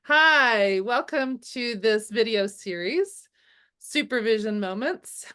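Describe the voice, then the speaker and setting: A woman hosting a video interview series, speaking hesitantly with many pauses and fillers. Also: on the high side, lively intonation, sharp tone, clean tone. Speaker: host, clinical supervisor.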